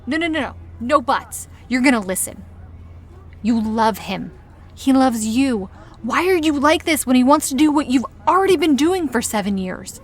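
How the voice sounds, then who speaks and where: High-voiced sassy motherly best friend. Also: lively intonation, normal speed. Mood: firm, coaxing. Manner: sassy, coaxing. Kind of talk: audio drama acting.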